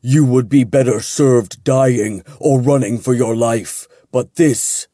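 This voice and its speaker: A man reading fantasy fiction aloud, voicing a formal, ominous male angel with slow, thunderous words. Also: mid-range voice, dark tone, dry recording, clean studio sound. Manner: slow, grave, formal intoning.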